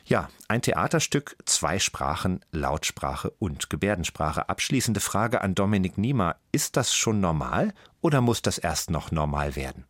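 A male radio presenter reading announcements and introductions in a clear, measured way. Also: deep voice, sing-song, a bit bright, no room sound, studio quality.

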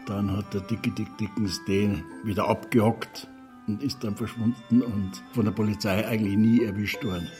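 A man's voice: fairly low pitch, steady tone, moderate pace, a little mellow, faint music behind. An older man, a retired sound engineer, reminiscing in an interview with loose, nostalgic storytelling.

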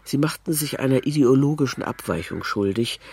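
A man's voice: mid-range voice, expressive, brisk, creaky, darkish tone, breathy edge. A man reading a scripted literary documentary narration.

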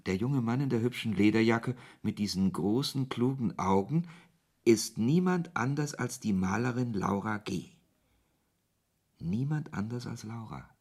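An actor playing a pensive author who narrates in the first person in a literary radio play, reflective and deliberate, with a husky voice. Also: male voice, medium pitch, a little mellow. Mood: pensive, unsettled. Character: pensive male author recounting his uncanny encounters.

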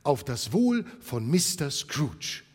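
A man voicing a humble, kindly clerk and father, gentle and placating. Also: mid-range voice, sing-song, takes it slowly, breathy edge, long reverb tail, pitched above the performer's own voice, full character voice. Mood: toasting.

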